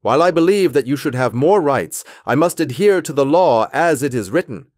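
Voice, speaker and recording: A man's voice: medium pitch, fairly quick, no echo, clean studio sound, lightly acted. A man playing a stern but sympathetic judge. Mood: grave. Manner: formal, calm, sympathetic but firm. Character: measured male German judge.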